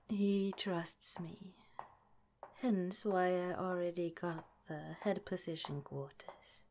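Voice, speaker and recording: Woman playing a softly whispering, scheming lab director. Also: mid-range voice, a little hiss or hum, close to an everyday voice. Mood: sly. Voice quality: soft and half-whispered. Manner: sweetly hospitable, with a scheming edge. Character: sly, gentle woman scientist running an underground lab. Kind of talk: ASMR roleplay.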